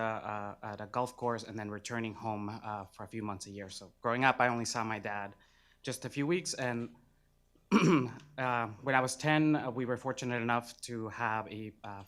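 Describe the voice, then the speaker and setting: A young man from Mexico in his twenties introduces himself to a panel. His voice is bright and forward, and he speaks haltingly with frequent fillers. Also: mid-range voice. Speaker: panelist, graduate student.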